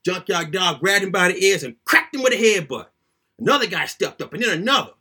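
Animated high-voiced man telling a story. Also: brisk, breathy edge. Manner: animated, conversational storytelling in a colloquial voice. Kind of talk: dramatic reading aloud of a first-person childhood story.

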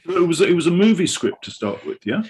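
A man's voice: a little high, very animated, fairly quick, a little mellow, slight background noise. A man co-hosting an interview, asking questions in a casual conversational style. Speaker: podcast co-host.

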